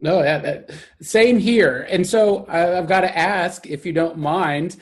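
A man hosting an interview in a relaxed, conversational way, often using "like" and "you know". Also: high voice, a little slow. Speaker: host. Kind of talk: casual interview chat.

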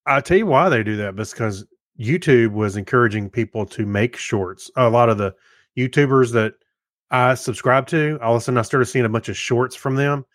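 A man's voice: mid-range voice, moderate pace, dry recording. Casual man with a close, dry sound. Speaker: podcast co-host. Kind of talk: casual chat.

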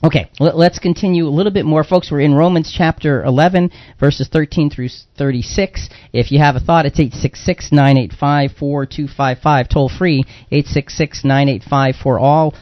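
A man with a fairly high voice hosts a religious talk show on radio. He explains things conversationally, often repeating a phrase to stress it. The sound is close and dry, slightly dulled. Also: normal speed, few pauses, dry recording, lo-fi sound. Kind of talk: live religious radio discussion.

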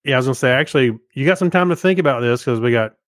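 A man's voice: medium pitch, lively intonation, racing, a bit bright, no echo, studio quality. A man co-hosting a podcast in casual, easygoing conversation. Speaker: podcast co-host. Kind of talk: casual chat.

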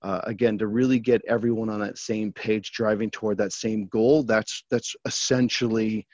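A man's voice: fairly low pitch, fairly even intonation, normal speed, a little mellow, no echo. A man hosting a podcast interview, friendly, talking with many fillers and restarts. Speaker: podcast host. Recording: dry, dull lo-fi sound.

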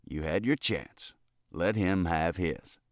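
A man voicing a dignified state governor in a storybook, formal and measured with a dry edge. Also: low-pitched, dry recording. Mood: stern, judicial.

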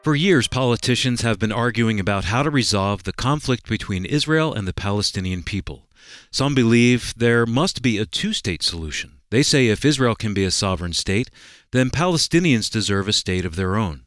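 Lively, lower-pitched male announcer. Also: moderate pace, no echo, studio quality. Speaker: radio announcer. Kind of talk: scripted promotional narration for a book.

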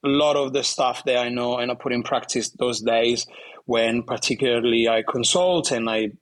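A man from southern Italy speaking English with an Italian accent as an interview guest, talking in a halting way with many restarts and small laughs. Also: mid-range voice. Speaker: guest bartender. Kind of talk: casual interview chat.

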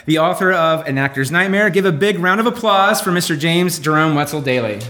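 A man's voice: fairly high pitch, expressive, a little fast, bright, forceful tone. A man hosting a live comedy variety show, bantering in an exasperated way. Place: live show inside a comic book shop. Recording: roomy live venue with music.

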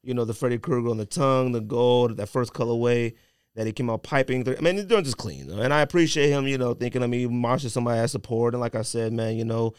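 A man hosting a casual sneaker podcast, talking in slangy, animated riffs and teasing his co-hosts. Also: mid-range voice, fast talker, clean tone, no echo, studio quality. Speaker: podcast host.

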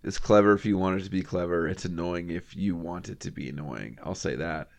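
A man with a very deep, gravelly voice co-hosting a relaxed podcast chat. He trades wry, teasing remarks and keeps the banter loose and joking. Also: brisk. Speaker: podcast co-host. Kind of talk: casual chat.

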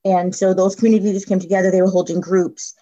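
A middle-aged woman from the South Bronx, a community organizer, answering interview questions earnestly, with frequent pauses and self-corrections. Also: mid-range voice, flat delivery, warm tone, highs cut off. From South Bronx, New York.

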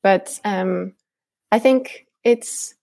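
A woman who teaches languages and writes books, speaking as an interview guest in a thoughtful, hesitant way with many pauses. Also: mid-range voice, gravelly, dark tone, no echo.

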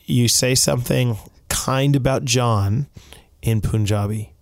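A man interviewing a guest, asking quick questions and teasing with jokes. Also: medium pitch, gravelly, dry recording, studio quality. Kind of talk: casual interview.

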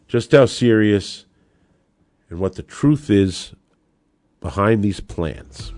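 An American man hosting an opinionated political podcast, delivering an emphatic, combative monologue with rhetorical flourishes. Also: fairly low pitch. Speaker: podcast host.

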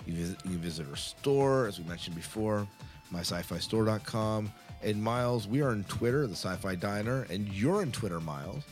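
A man's voice: fairly low pitch, lively intonation, moderate pace, faint music behind. A man co-hosting a podcast, talking casually and chattily to listeners, rambling a little and correcting himself as he goes. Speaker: podcast co-host.

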